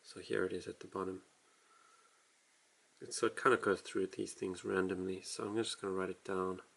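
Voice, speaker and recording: Guy with a lowish gravelly voice, talks you through stuff while writing, kinda halting and modest. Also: flat delivery, fairly bright tone. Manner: halting, self-deprecating explaining while writing. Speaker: language tutorial host. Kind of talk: casual tutorial walkthrough.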